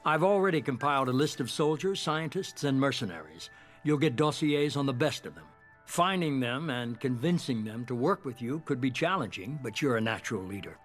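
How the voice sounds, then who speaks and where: An actor playing a shadowy, calculating middle-aged leader in a sci-fi game, speaking with measured, composed authority. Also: male voice, medium pitch, animated, soft background music, natural voice. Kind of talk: cinematic sci-fi game dialogue.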